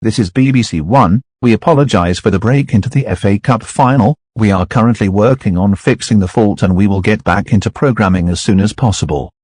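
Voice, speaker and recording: A man reading formal, scripted broadcast announcements in a steady tone. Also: lowish voice, fairly quick, hardly any pauses, slightly rough, dry recording.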